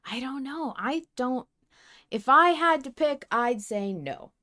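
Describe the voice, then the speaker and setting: A woman's voice: high voice, lively intonation, slow pace, sharp tone. A woman co-hosting a podcast in casual fan discussion, informal and sweary in how she talks. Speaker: podcast co-host.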